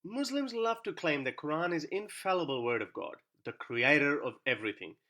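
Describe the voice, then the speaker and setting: A man's voice: on the high side, animated, brisk, bright, forceful tone. A man whose first language is Urdu, delivering a scripted, argumentative monologue full of sarcastic rhetorical questions. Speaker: YouTube commentator.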